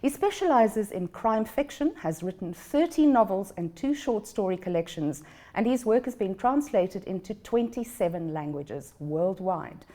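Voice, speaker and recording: Female interview host, mid pitch, mellow tone, friendly and encouraging. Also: lively intonation, normal speed. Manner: friendly, with brief encouraging backchannels. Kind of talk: interview conversation.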